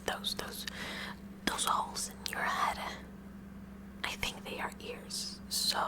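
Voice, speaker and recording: A woman voicing a kooky, curious teenage science club president in a breathy, halting whisper, for an ASMR roleplay. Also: natural voice, low-quality recording. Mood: curious, musing. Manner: halting, rambling, repeats words.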